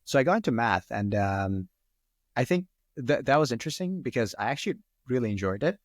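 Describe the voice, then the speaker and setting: A man's voice: medium pitch, big pitch swings, fairly quick, no echo, studio quality. A man in his twenties, a first-language Arabic speaker, answering podcast questions in a rambling, casual way full of "like" and "um.". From Sri Lanka. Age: 20s. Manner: rambling, heavy use of "like" and "um". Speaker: guest.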